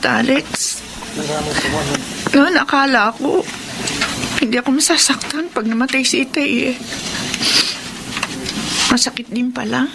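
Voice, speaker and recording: An actress playing a sorrowful, devout and resilient young eldest daughter in a Filipino radio drama, with an earnest, polite, emotional delivery. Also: female voice, high-pitched, noisy background, exaggerated voice. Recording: radio drama with music behind.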